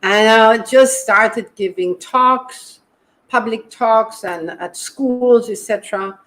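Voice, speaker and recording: A woman's voice: fairly high pitch, slow, a bit bright, poor audio. Hesitant woman health coach. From Germany. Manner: hesitant, many ums, self-correcting. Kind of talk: video call interview. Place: home kitchen. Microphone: video call.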